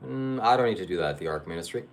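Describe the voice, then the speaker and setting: A man's voice: sits low, expressive, racing. A man from Ukraine, hosting a live stream and chatting casually with viewers in a rambling, hesitant way. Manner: rambling, hesitant, with many fillers and restarts. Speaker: livestream host.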